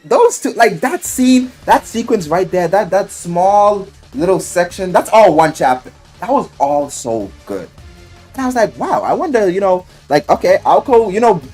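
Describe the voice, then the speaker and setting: A man giving a casual, enthusiastic recap of a story, animated and slangy, swearing freely and acting out the quotes. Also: high voice, breathy edge. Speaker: video game and visual novel reviewer. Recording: music playing behind the voice. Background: music.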